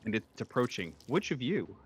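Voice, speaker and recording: A man narrating a horror tabletop roleplaying game as game master, feeling out his words with halting repetitions. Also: medium pitch, very animated, slight background noise.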